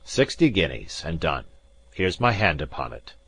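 A man voicing a shrewd, greedy ship captain who bargains gruffly and dryly in an audiobook. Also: a bass voice, very animated, moderate pace, fairly bright tone, no echo, exaggerated voice. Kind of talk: audiobook character voice.

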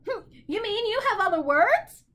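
A woman's voice: very high voice, big pitch swings, moderate pace, fairly bright tone, voice pushed up, full character voice. A woman voicing a malicious, haughty, unkempt male bird in a storybook, shrieking and cackling as he talks. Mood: gleeful.

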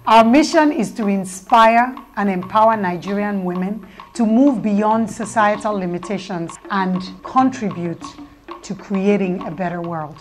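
A woman narrates a scripted promotional piece. Her voice sits at an average pitch, and prominent music plays loudly behind her throughout. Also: unhurried.

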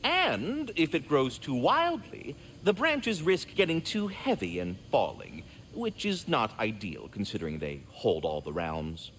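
A magical squirrel who tends a great tree in a video game. The voice swings dramatically up and down, formal, wordy and fussily polite with a dry edge. Faint ambience sits behind it. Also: male voice, mid-range voice, big pitch swings, unhurried, few pauses, fairly bright tone, slight background noise. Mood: politely explaining. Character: magical talking squirrel, prim, courteous male caretaker. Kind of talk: video game character acting.

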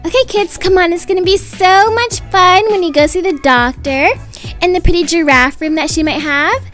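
A young woman narrating an animated roleplay story as a brisk, slightly exasperated mother. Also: very high-pitched, soft background music. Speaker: storyteller voicing a roleplay video.

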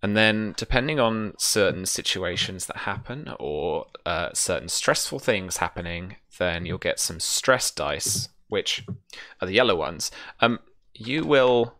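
A British man with a low, bright voice runs a tabletop game stream. He talks informally and reassuringly as he goes through the rules. The sound is close and dry. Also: expressive, measured pace, dry recording. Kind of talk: casual livestream chat explaining a tabletop game. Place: small room at home, on a video call.

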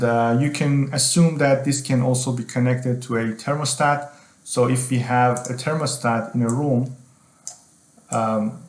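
A middle-aged man explaining technical material as a tutorial instructor, speaking haltingly and often repeating phrases as he goes. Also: medium pitch, reverberant.

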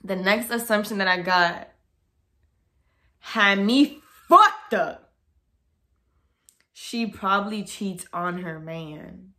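A young woman in her twenties speaking straight to a camera in her bedroom. She talks casually and bluntly, gesturing with her hands, at a middle pitch. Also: takes it slowly, long pauses. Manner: blunt, outspoken, animated with hands. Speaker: vlogger. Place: bedroom, indoors.

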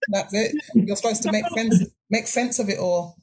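A woman's voice: mid-range voice, fairly even intonation, normal speed, a little mellow, breathy edge. An older woman, a podcast guest, talking earnestly and emphatically with frequent restarts. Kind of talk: conversational interview.

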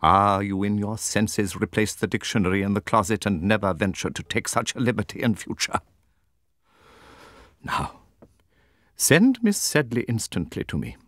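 A man's voice: on the low side, expressive, moderate pace, a bit bright, dry recording, clean studio sound. A man voicing a stately, cold older headmistress in an audiobook, with pompous, formal, commanding speech. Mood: cold, severe. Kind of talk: audiobook character acting.

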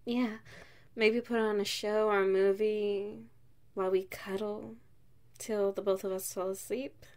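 Slow, soft-spoken affectionate wife, played by a woman. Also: mid-range voice, flat delivery, lightly acted. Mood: tender, relaxed. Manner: soft, intimate, unhurried. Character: affectionate, playful wife on a cosy night in. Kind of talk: ASMR roleplay, one side of a dialogue.

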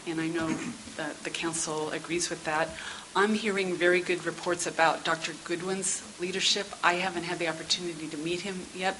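A middle-aged woman giving prepared comments at a public council meeting in a measured, businesslike, formal but conversational way. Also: fairly low pitch. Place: indoor meeting room. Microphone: gooseneck table microphone. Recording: hissy meeting-room sound.

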